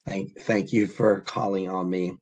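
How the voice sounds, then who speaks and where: A man on a public committee who is sick with the flu and losing his voice, speaking haltingly with many fillers. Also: low voice, little pitch movement, a little mellow, slightly rough. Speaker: committee member. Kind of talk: public meeting discussion.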